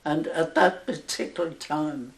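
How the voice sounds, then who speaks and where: A woman over a hundred years old with a Northern Irish accent, a nun slowly recalling her life in an oral history interview, halting and with soft laughs. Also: deep voice, roomy sound, slight background noise. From Northern Ireland. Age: over 100.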